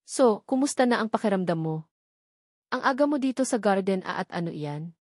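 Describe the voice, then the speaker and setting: A woman's voice: medium pitch, lively intonation, fairly quick, a little mellow, no room sound, natural voice. A confident male boss, attentive and affectionate, read by a woman narrator. He questions in a teasing, solicitous way in an audiobook romance, with a very dry sound. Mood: concerned.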